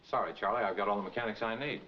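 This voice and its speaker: A man's voice: lowish voice, rapid-fire, lightly acted. An American man playing a businesslike, affable garage boss in 1940s film noir style. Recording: dull, noisy 1940s film soundtrack.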